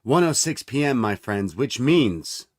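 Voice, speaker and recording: A man's voice: mid-range voice, sing-song, a little slow, dry recording, studio quality. A man co-hosting a podcast, talking in a casual, rambling, conversational way. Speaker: podcast co-host. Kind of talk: casual chat.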